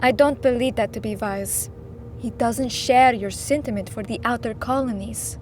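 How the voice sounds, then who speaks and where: Mother role, played by a woman: higher voice, measured, formal, patient yet firm; audio drama, faint noise behind. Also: a little mellow, close to an everyday voice. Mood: concerned, pleading. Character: patient, reasoning wife and mother who stands firm. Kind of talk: scripted audio drama acting.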